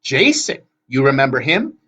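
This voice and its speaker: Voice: medium pitch, sing-song, normal speed, pushed and bright, breathy edge, pitched above the performer's own voice, full character voice. A narrator voicing quarrelsome ancient gray hag sisters who screech, wail and bicker in a storybook reading. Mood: squabbling, indignant. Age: ancient, thousands of years old.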